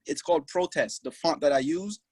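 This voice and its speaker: A husky-voiced man in his twenties, from Haiti, talking over a video call from his shop. He speaks earnestly and with pride, in short halting bursts. The sound is dry and close, with little room around it. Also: fairly high pitch, a little mellow, no echo. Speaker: podcast guest. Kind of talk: casual interview talk.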